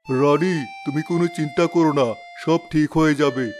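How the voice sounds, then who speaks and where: A man voices a fairy tale king who is both commanding and anxious for his family. His voice sits a bit high for a man. Music plays behind. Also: steady tone, normal speed, close to an everyday voice. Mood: earnest, reassuring. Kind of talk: fairy tale animation voice acting.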